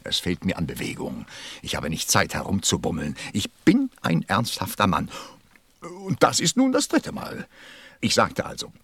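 An actor playing a busy, self-important middle-aged businessman in a radio play, with a gravelly, husky voice and a testy, muttering manner. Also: low-pitched, huge pitch swings, normal speed, a bit bright, no room sound, full character voice. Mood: irritated, pompous. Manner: pompous, testy, muttering, swooping. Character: busy, self-important 54-year-old businessman counting stars.

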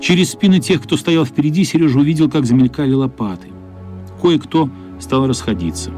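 A man's voice: a little high, expressive, fairly quick, a little mellow. A man narrating a literary story for a radio play, as a scripted narrator. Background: faint music.

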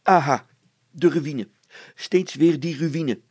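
Schoolmaster detective male reader acting: pompous, formal, declaiming, pitched up with a rasping edge, storybook style. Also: slow pace, a little mellow, no room sound. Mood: triumphant deduction. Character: pompous, self-important male village schoolmaster playing detective.

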